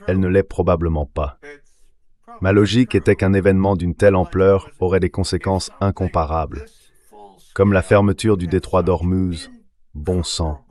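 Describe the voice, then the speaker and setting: A man speaking as a guest commentator, talking in a measured, deliberate way. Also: deep voice, darkish tone. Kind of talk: dubbed interview commentary.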